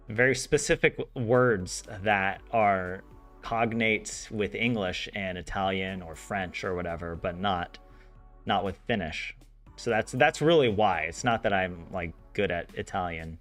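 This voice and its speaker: A man's voice: lowish voice, a bit bright. A man streaming a language study session, chatting casually, rambling with frequent laughs and restarts. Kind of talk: casual live-stream chat and translation.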